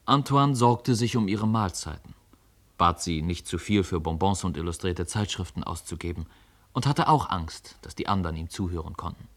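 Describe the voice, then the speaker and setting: Man, low voice, measured literary narration, expressive radio-play storyteller.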